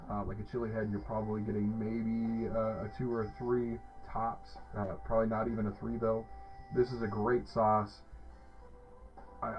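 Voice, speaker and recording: Enthusiastic man reviewing hot sauces, lo-fi. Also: on the low side. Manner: enthusiastic, rambling, lots of fillers and restarts. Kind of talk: casual product review. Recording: dull lo-fi sound with faint music.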